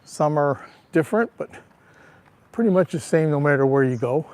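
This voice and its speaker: Raspy man livestreaming a street walk. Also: fairly high pitch, expressive, normal speed, a little mellow. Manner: chatty, greets viewers by name. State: raspy from allergy. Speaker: livestream vlogger. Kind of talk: casual live walking tour. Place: outdoors on a town street. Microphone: handheld phone.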